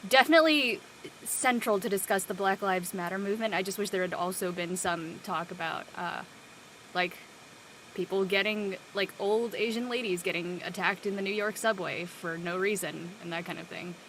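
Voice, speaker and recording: An expressive woman chatting casually in a group discussion. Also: medium pitch, normal speed, poor audio. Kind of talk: casual chat in a panel discussion. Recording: background noise and room ambience.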